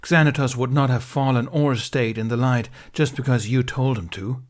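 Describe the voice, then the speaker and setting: A man's voice: mid-range voice, fairly even intonation, moderate pace, pitched above the performer's own voice, lightly acted. A man voicing a furious, grieving middle-aged knight who speaks in a heated, accusing, raised voice in an audiobook reading.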